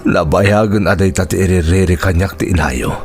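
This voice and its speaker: A man's voice: low voice, moderate pace, faint music behind. A man acting a weary, sorrowful poor father in a Filipino radio drama, plaintive, with a Filipino accent. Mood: aggrieved, sad.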